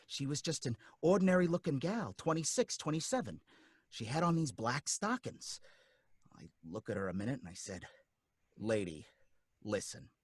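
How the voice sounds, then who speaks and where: A man's voice: medium pitch, sing-song, normal speed, breathy edge, dry recording. Guy doing nervous old-school cabbie telling his story, folksy, voice jumps all over, dry close sound. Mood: uneasy. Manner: rambling, nervous, folksy street talk. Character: jittery working-class male cab driver narrating. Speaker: narrator. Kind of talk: radio drama acting.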